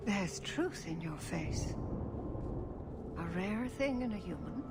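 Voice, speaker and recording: A woman's voice: medium pitch, expressive, slow, heavy background noise. An actress playing a wise, solemn older female elf clan elder in a video game. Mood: solemn, gentle. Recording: clear music behind the voice.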